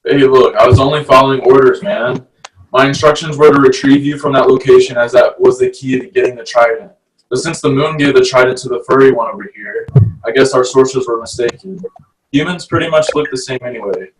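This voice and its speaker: A man's voice: mid-range voice, brisk, close to an everyday voice. A man playing a sarcastic, irreverent merman in a screenplay table read. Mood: sardonic. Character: male merman, sardonic, unapologetic sea creature. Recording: distant mic, faint background noise.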